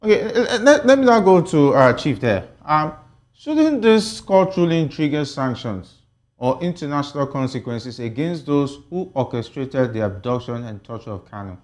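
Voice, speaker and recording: A man's voice: fairly high pitch, big pitch swings, moderate pace. Man moderating a talk panel. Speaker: host.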